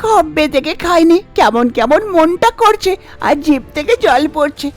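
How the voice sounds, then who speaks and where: Cartoon young daughter-in-law , played by a woman: greedy, sly, high and lively, scheming to herself. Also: unhurried. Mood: excited. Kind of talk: Bengali cartoon fairy-tale voice acting.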